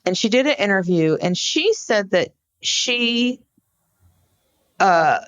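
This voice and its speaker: A woman co-hosting a podcast, explaining a case conversationally in an informal, halting storytelling way. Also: medium pitch, lively intonation, dry recording. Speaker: podcast co-host. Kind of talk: conversational true-crime case discussion.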